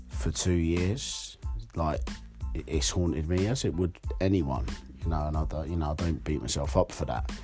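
A hoarse young man hosting his podcast alone, talking candidly and rambling with fillers. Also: a bass voice, darkish tone. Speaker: podcast host. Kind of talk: solo podcast monologue.

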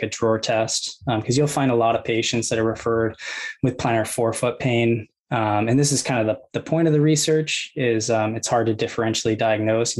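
A man in his thirties, a physical therapist, calmly explaining his clinical approach with many ums and you-knows. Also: mid-range voice. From United States. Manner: measured, filler-heavy, explains step by step.

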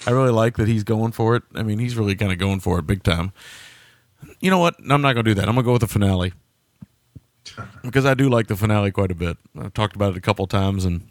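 A man with a fairly low voice co-hosts a casual movie podcast. He is easygoing and works his thoughts out aloud as he talks. His voice is recorded dry, with no room sound. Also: fast, dry recording. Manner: easygoing, thinks aloud while talking. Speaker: podcast co-host. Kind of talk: casual chat reviewing movies.

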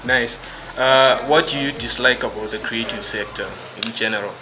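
A man's voice: medium pitch, steady tone, normal speed, noisy background. A man hosting a podcast interview, asking questions and answering with short encouraging responses. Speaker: podcast host.

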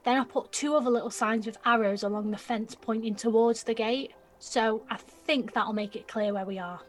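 A young woman acting an excitable, mouthy and insecure nineteen-year-old girl in an audio drama, with slangy, blurting speech. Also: a little high, normal speed, lightly acted. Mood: excited.

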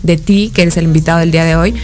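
A woman's voice: low-pitched, fairly even intonation, darkish tone. Chatty Mexican woman host. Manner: chatty, talks a lot, quick to laugh. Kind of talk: casual interview chat.

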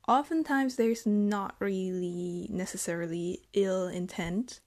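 Young woman vlogger from Germany, unhurried and reflective, pausing to find her words. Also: medium pitch, darkish tone. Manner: thoughtful, hesitant, halting phrasing. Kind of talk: reflective personal monologue.

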